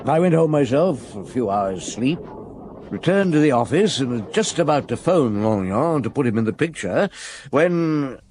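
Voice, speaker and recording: A man's voice: mid-range voice, lively intonation, moderate pace, a little mellow, lightly acted. Man voicing a wry veteran police chief inspector, dry understated narration, hiss behind, radio play. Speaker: narrator and lead detective. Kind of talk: radio drama acting.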